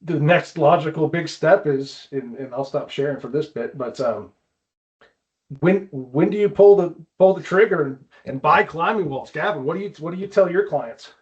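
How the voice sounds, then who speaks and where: A man's voice: on the high side, normal speed. Casual male podcast host, slightly dull audio. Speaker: host presenting tips. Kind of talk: casual explanatory podcast talk. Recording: dull, band-limited audio.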